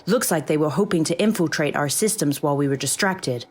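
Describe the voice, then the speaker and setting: Brisk, lower-voiced female AI assistant. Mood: brisk, informative. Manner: crisp, dry, businesslike. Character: brisk, dry-witted female AI assistant to soldiers. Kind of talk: comic sci-fi audio drama acting.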